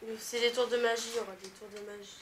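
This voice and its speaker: A woman's voice: on the high side, steady tone, fast talker, slight background noise. A woman with a cold, stuffy and congested, giving brief offhand replies as a game partner.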